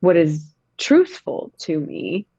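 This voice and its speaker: A woman's voice: on the low side, sing-song, takes it slowly, dark tone, slightly rough, dry recording, dull audio. A woman in her thirties, an actor talking in a casual interview, reflective and emphatic. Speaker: actor, podcast guest.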